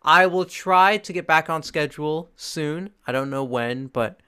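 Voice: medium pitch, unhurried, pushed and bright, clear, resonant tone. A podcast host asking questions and signing off with brief, easygoing remarks in a casual chat.

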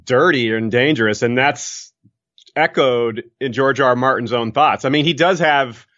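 Male podcast guest, mid pitch, upbeat and friendly, a bit muffled. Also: normal speed, fairly bright tone. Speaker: podcast host. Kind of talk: casual podcast chat.

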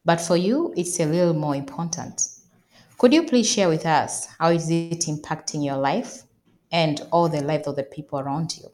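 A woman's voice: deep voice, normal speed, darkish tone. A woman moderating a panel, asking formal and polite questions. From Tanzania.

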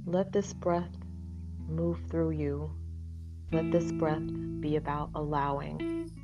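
A woman leading a guided meditation in a soothing, measured way with long pauses. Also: sits low, fairly even intonation, muffled recording. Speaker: meditation guide, holistic practitioner. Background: loud plucked guitar music.

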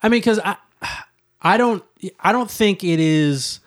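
A man's voice: high voice, animated, a little slow. A man co-hosting a casual chat show, talking in a tentative, rambling way and often repeating himself. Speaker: co-host of a talk show.

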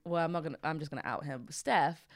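A woman co-hosting a casual podcast, her voice low and full of bass. She rambles and jokes through stories. The sound is dry and close. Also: fast talker, no room sound. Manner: chatty, jokey, rambling. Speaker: podcast co-host. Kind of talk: casual chat.

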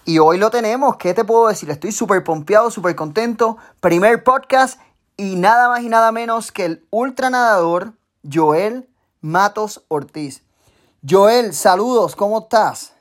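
A man hosting a casual interview podcast, excitable and hyping up his guest. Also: sits high, measured pace. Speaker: podcast host and coach.

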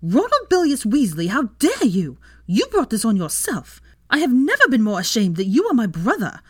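A woman's voice: fairly high pitch, huge pitch swings, normal speed, breathy edge, no echo, studio quality, pitched above the performer's own voice, exaggerated voice. A woman voicing an indignant young woman who scolds her wayward brother emphatically in a story. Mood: indignant, ashamed. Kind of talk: dramatised fan fiction reading.

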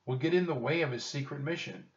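A man's voice: a little high, fast talker, roomy sound, voice pushed up, natural voice. A man voicing a cautious, worried young boy in a children's storybook, urgent and fretful. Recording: dull, lo-fi, distant microphone.

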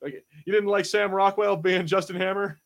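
A man co-hosting a podcast in casual review banter, telling things in slangy, animated fashion and acting out quotes. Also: sits high, normal speed, gravelly. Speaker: podcast co-host.

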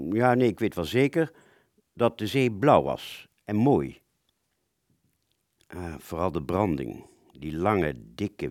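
A man acts out a wartime fighter pilot remembering his missions in a radio drama. The voice is low and swings expressively as he searches his memory. It sounds very dry and close. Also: darkish tone, no room sound. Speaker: storytelling narrator of a radio play. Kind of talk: reflective first-person radio drama narration.

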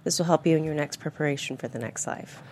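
A woman playing a calm, ominous mystery woman in a thriller script read, measured and gravelly. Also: low-pitched, a little hiss or hum, close to an everyday voice. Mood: serene menace. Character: calm, sinister mysterious woman obsessed with destiny. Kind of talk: table read of a thriller screenplay.

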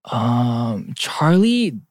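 A man's voice: mid-range voice, sing-song, drawn-out words, no echo, clean studio sound. A man in his late twenties co-hosting a podcast, chatting casually and teasing his co-host. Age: young adult. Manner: playful, teasing, reads out lists. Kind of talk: casual chat.

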